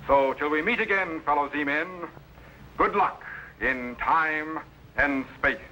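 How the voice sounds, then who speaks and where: A man playing a brisk, authoritative scientist captain in 1950s television science fiction, instructing in a crisp and kindly way. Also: a little high, sharp tone. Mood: friendly, presentational. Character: commanding, brisk male scientist captain of a time machine. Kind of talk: 1950s television sci-fi acting. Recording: slightly noisy old 1950s TV soundtrack.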